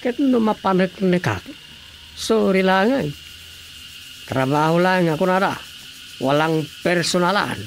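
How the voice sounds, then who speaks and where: An actress playing a firm, dutiful village investigator in an Ilocano radio drama, with an Ilocano accent. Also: female voice, on the low side, measured pace, pauses often, background noise. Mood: businesslike.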